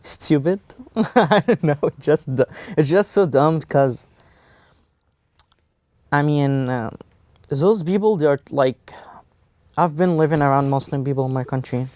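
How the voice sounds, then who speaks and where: A young man from Egypt speaks in a smooth, resonant voice, close to the mic with no room sound. He answers plainly and bluntly, stopping and restarting often with fillers. He sounds like a student guest on a small studio show. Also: a little high, a little slow, darkish tone, dry recording. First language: Egyptian Arabic. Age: young adult. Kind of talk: casual interview answers.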